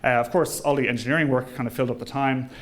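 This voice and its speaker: A man's voice: mid-range voice, steady tone. Man giving an informal talk, hesitant. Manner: hesitant, conversational delivery with frequent ums and pauses. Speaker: engineering manager speaking at a company event. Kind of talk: informal presentation to an audience.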